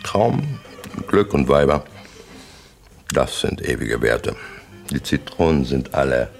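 Very deep, gravelly crime boss. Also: male voice, very animated, takes it slowly, faint music behind, full character voice. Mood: cynical, lecturing. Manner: imperious, matter-of-fact, lecturing in clipped statements. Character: ruthless, businesslike crime syndicate boss giving orders over dinner. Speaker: radio play actor. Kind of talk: 1960s German radio play acting.

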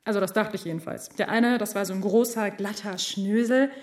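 An actress playing a chatty, cheeky and loyal office assistant in a naturalistic radio drama, speaking colloquially and groping for words. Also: female voice, medium pitch, fairly even intonation, fairly quick, natural voice. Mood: chatty, confiding.

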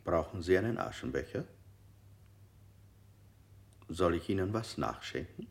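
An actor playing a male interrogator in a radio play, slowly posing falsely sympathetic questions with a gravelly voice. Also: low voice, very animated, heavily acted. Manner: slow, ingratiating questioning. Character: gently probing male interrogator, insidiously sympathetic.

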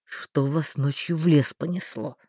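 A woman voicing a stern, tired male sorcerer who speaks in a low, muffled, grumbling tone, recorded dry. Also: a little slow, warm tone, husky, no echo. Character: stern weary male sorcerer, protective guardian.

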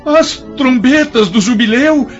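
A man's voice: extremely high pitch, unhurried. A male actor with a Brazilian accent playing a proud, anguished condemned resistance leader, lamenting theatrically in a radio drama. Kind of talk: radio drama acting in a historical serial. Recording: dull lo-fi radio drama, faint music.

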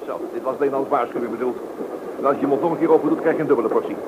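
A stern police inspector acted by a man in a radio play speaks quickly and with authority. The recording is thin and phone-like, with loud noise behind the voice. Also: medium pitch, lightly acted. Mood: stern, impatient. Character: stern male police inspector escorting a prisoner. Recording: muffled phone-like audio, loud train noise.

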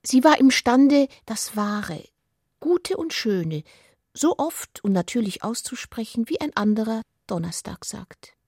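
A woman reading scripted literary narration for a radio play, soft and intimate in manner. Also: a little high, big pitch swings, unhurried, slightly rough, no room sound, clean studio sound.

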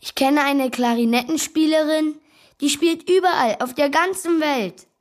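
A woman's voice: high voice, moderate pace, natural voice. A woman playing a keen, easily offended little sister in a German children's radio play. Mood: eager, chatty.

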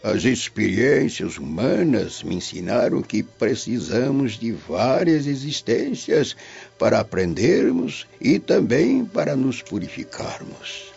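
A dying old Roman father with a Brazilian accent, grave, tender and philosophical. His delivery is very slow and expressive, in a lo-fi radio drama recording with music underneath. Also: mid-range voice, creaky, husky, heavily acted. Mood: solemn, consoling. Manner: grave, declamatory. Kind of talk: dramatic radio play acting.

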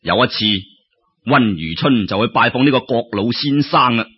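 A man with a Cantonese accent telling a story in an expressive, colloquial way, with exclamations and rhetorical questions. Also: medium pitch, normal speed, husky. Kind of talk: animated traditional Cantonese storytelling narration. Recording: dull lo-fi audio.

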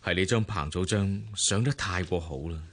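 An actor with a Hong Kong Cantonese accent playing a bitter, insistent, aggrieved husband in a radio drama, with a husky voice. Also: male voice, deep voice, normal speed, a bit bright.

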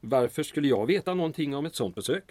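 Man playing proud, animated small-town mayor. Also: a little high, no echo, close to an everyday voice. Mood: defensive, indignant. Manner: formal, dryly sarcastic. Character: proud, guarded town mayor under German pressure. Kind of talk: radio drama acting.

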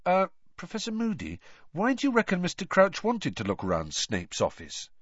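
A polite young schoolboy wizard, put on by a male audiobook reader, tentative and curious. The pitch sits high and moves around a lot. The voice has a slightly husky grain and the halting manner of a shy storybook boy. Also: wildly swooping pitch, a bit bright, no room sound, highs cut off, full character voice. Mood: curious, nervous. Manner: hesitant, tentative. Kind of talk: audiobook character voice.

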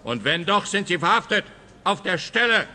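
Grumpy dim cop, radio comedy, piercingly forceful, officious, highish voice, faint noise. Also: male voice, big pitch swings, normal speed, full character voice. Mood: officious, irritated. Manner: officious, barking, pedantic. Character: grumpy, blustering, dim-witted policeman. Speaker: actor playing a policeman. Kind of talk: comic radio play acting.

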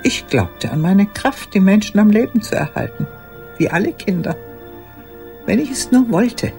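Reflective old woman, low lively voice. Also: creaky, faint music behind. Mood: wistful, remembering. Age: older. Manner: measured, reflective, short pausing phrases. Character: old woman recalling her childhood and lost love, lonely. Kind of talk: intimate radio play monologue.

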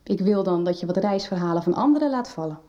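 Actress as mellow-voiced, frank female teacher, slightly muffled. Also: on the low side, animated, quick pace, distant mic, lightly acted. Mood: frank, thoughtful. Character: mild, frank woman school teacher advising a pupil on books. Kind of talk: Dutch radio soap acting.

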